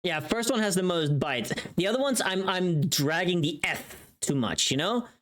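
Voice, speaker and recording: Woman, deep for a female voice, highly expressive pitch, casual streamer chat, rambling, talking to herself. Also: moderate pace. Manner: rambling, muttering to self, repeats phrases; swears freely. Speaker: livestreamer.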